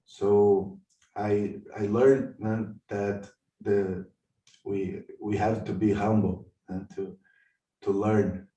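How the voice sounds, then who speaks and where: A man from Brazil with a Brazilian Portuguese accent, a guest on a video call, speaking unscripted with many halting pauses as he searches for words in English. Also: fairly low pitch, flat delivery, warm tone, muffled recording. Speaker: guest sharing about his spiritual tradition. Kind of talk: unscripted personal talk. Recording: roomy and distant from the mic.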